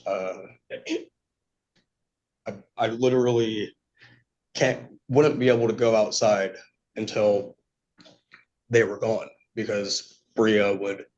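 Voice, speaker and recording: A man giving unscripted testimony to a judge, speaking in a halting, polite way. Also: fairly low pitch, flat delivery, a little mellow. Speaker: petitioner testifying in court. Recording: dull, lo-fi courtroom audio.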